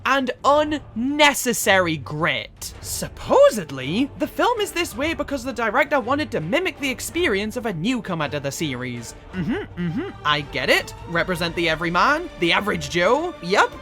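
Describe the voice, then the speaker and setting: A woman hosting a scripted, opinionated film review, with expressive, emphatic delivery and sarcastic asides. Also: medium pitch, moderate pace, few pauses, faint music behind. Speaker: video essay host.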